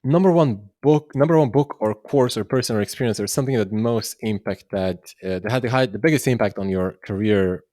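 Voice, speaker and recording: A man's voice: on the low side, fairly quick, hardly any pauses, warm tone, dry recording, clean studio sound. A man hosting a podcast, chatting casually and putting questions to his guest. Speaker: podcast host. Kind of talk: casual interview chat.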